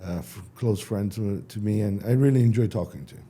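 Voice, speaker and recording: Man, very dark tone. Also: fairly low pitch, a little hiss or hum. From Iran. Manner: halting, restarts, non-native phrasing. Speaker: startup founder, guest. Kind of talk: casual interview answers.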